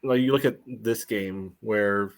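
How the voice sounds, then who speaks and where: A man's voice: fairly low pitch, slow pace. A man co-hosting a sports podcast, chatting casually with a dry, wry sense of humour. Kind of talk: casual chat.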